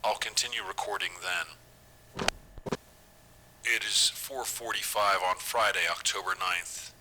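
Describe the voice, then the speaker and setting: A man playing a secretive, obsessive doctor in an audio drama, measured and precise in his speech. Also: on the low side, background noise, lightly acted. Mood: clinical, brooding. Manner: measured, precise, hushed dictation. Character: secretive, clinical male doctor, falsely soothing, obsessive. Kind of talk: audio drama acting as taped medical notes.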